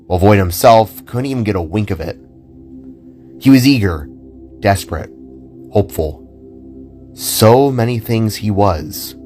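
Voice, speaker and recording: A man narrates a scripted story for an audio drama. His voice sits low and he tells it in a dramatic storyteller's style. Soft music runs behind him. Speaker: narrator and writer.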